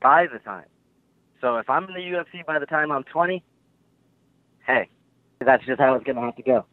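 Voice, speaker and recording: A man's voice: mid-range voice, normal speed, no echo. An eighteen-year-old man, a fighter talking brashly on a phone line and giving boastful guarantees. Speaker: guest fighter. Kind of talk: phone interview. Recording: tinny dry phone line.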